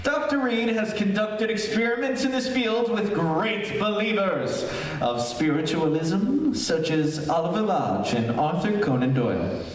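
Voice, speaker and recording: A man playing a jokey, skeptical broadcaster in an old-time radio drama, chatting in halting asides to his listeners. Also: high-pitched, expressive. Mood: chatty, glib. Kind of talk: 1940s radio acting, live on-location commentary. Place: echoey hall, stage-style radio play performance. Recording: distant, very echoey room with faint noise.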